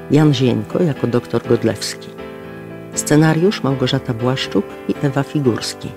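A man delivers a scripted announcement at an average pitch. His voice has plenty of gravelly creak, and guitar music plays clearly behind him. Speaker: announcer reading credits.

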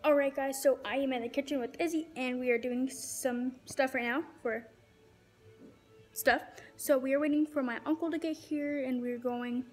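High-voiced young American woman vlogging. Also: steady tone, normal speed, over music. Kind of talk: casual vlog chat to viewers. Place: bedroom, kitchen, car and outdoors at night. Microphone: phone or device held at arm's length.

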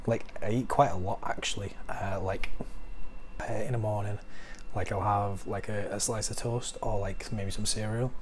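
A man's voice: fairly low pitch, fairly even intonation, normal speed, a little hiss or hum, natural voice. A man playing his shy teenage younger self, talking hesitantly with many fillers. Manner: hesitant, filler-heavy, lots of "like" and "um". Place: living room at home. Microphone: lapel mic.

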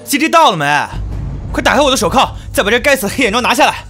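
Radio drama: cocky young man held captive, brash, exclamatory, very animated delivery, played by a male actor. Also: extremely high pitch, very fast, soft background music, heavily acted. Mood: sarcastic, irritated. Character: young man captive, cocky, foul-mouthed, sarcastic, defiant.

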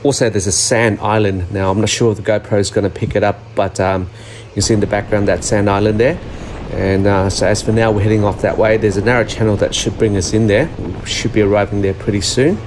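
A man recording an informal voiceover for his fishing vlog, relaxed and using fillers. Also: on the low side, a little fast, noisy background. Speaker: vlog narrator. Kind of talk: voiceover narration.

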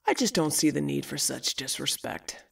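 A woman's voice: low-pitched, lively intonation, moderate pace, creaky, fairly bright tone, husky, far from the mic, heavily acted. A woman playing a crass, dismissive older garage boss with a sarcastic manner in an audio story. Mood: sardonic, irritated. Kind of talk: audio fiction dialogue.

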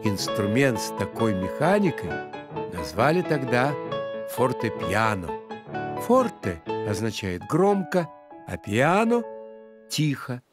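An actor playing a courteous maestro guide, narrating an educational children's cartoon expressively. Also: male voice, medium pitch, big pitch swings, slow, over music. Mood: explaining.

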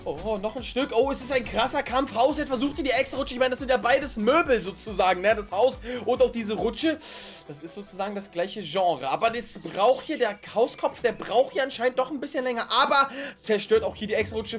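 A gaming video host giving excited gameplay commentary, exclaiming often and talking directly to the viewers. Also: medium pitch.

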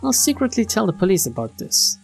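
A woman streamer reading visual novel text aloud in an expressive storytelling style. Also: mid-range voice, a little fast, vocal fry, husky. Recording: game music behind the voice.

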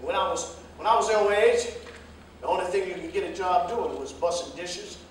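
A man's voice: high-pitched, moderate pace, lightly acted. A man acting an older, fatherly American jitney driver in a staged play reading, with a folksy, emphatic, lecturing way of talking. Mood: earnest, advising. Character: older railroad pensioner jitney driver, fatherly, plain-spoken adviser. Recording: distant mic, echoey hall, faint noise.

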